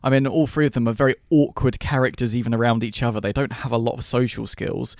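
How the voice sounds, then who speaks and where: A man's voice: mid-range voice, steady tone, quick pace, vocal fry, darkish tone, dry recording, studio quality. A man in his early thirties co-hosting a podcast with casual talk about film. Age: early 30s. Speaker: podcast co-host.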